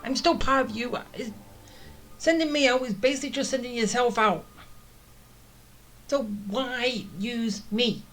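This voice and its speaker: A woman's voice: fairly high pitch, measured pace, pauses often, a bit bright. A woman streaming a game with casual, teasing, sarcastic commentary. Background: video game music.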